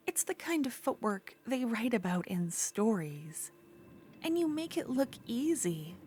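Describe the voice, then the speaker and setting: Slow, intimate obsessive yandere woman, acted out by a woman. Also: fairly high pitch, darkish tone. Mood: flustered, resentful. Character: obsessive yandere woman duelist, menacing yet tender, bitterly infatuated rival. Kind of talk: ASMR fantasy roleplay monologue.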